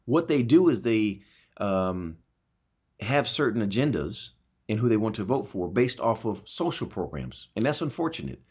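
A man with a husky voice hosting a talk show, explaining his opinions emphatically and posing rhetorical questions in a conversational way. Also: lowish voice, big pitch swings, moderate pace, a little mellow. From Germany. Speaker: talk show host answering listener questions. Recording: dull, lo-fi audio.

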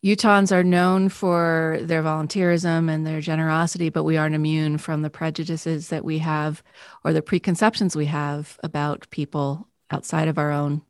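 A woman interviewing guests for local news, asking clear, measured questions. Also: low-pitched, no room sound, clean studio sound.

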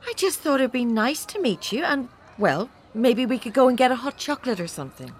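A woman's voice: fairly high pitch, big pitch swings, brisk, a little hiss or hum. A middle-aged woman acting in an audio drama as an anxious, wounded mother of a teenage son, hesitant in her speech. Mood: hurt, placating.

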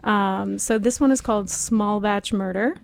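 A woman's voice: mid-range voice, a little slow, gravelly, no room sound. A woman co-hosting a podcast in casual chat, with a giggly, self-deprecating way of talking. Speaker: podcast co-host. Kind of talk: casual chat and playful dramatic reading.

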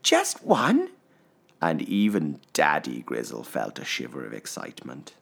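A man narrating a children's story expressively, with theatrical phrasing and deliberate pauses. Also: very deep voice, lively intonation, gravelly.

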